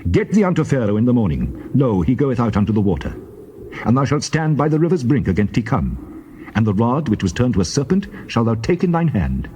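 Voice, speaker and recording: A man playing God as a solemn, commanding deity in a dramatized scripture reading, measured and declamatory. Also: medium pitch, sing-song, dark tone, a little hiss or hum, lightly acted.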